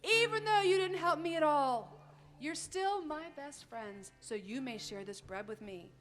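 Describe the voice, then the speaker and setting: A woman's voice: high voice, lively intonation, measured pace, fairly bright tone. A woman voicing a small, hardworking hen, plaintive but forgiving, in a sing-along children's story.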